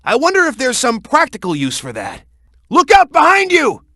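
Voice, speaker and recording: A man's voice: very high-pitched, wildly swooping pitch, moderate pace, sharp tone, no room sound, clean studio sound, full character voice. An American man voicing a tough, hot-headed, heroic young cartoon echidna in a video game, urgent and gung-ho in manner. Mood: alarmed shouting. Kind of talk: animated video game voice acting.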